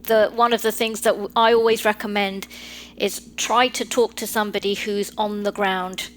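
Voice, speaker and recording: Measured London-accented woman. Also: mid-range voice, steady tone, a little hiss or hum. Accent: London English. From Britain. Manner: measured, advisory, with fillers. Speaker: guest lawyer. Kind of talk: podcast interview.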